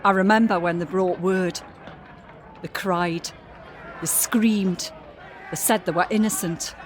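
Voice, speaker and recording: A woman in an audio drama playing a shaken witness who gives grave, deliberate testimony. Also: fairly low pitch, fairly even intonation. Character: shaken witness recalling wartime mob cruelty. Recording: noisy crowd behind the voice.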